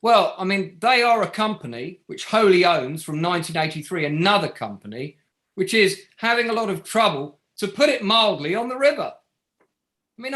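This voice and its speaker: A relentless barrister acted by a man presses a witness in a courtroom drama. His voice is high and sharply bright, swinging widely in pitch as each pointed, sardonic question lands. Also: exaggerated voice. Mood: incredulous, insistent. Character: relentless barrister cross-examining a witness at an inquiry. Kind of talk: scripted courtroom drama table read.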